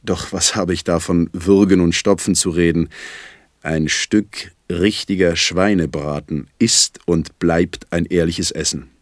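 A man performing a self-ironic, musing poet in a theatrical literary recitation, speaking in a deliberate, wry, articulate way. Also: low-pitched, steady tone, no echo, clean studio sound. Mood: savouring, chatty.

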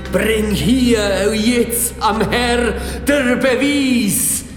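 An actor playing a fanatical, ecstatic pastor who preaches in halting, repetitive incantations in a radio drama. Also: male voice, very high-pitched, heavily acted. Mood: ecstatic frenzy. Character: fanatical male pastor at a sinister wedding, ecstatic. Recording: echoey church hall, music behind.

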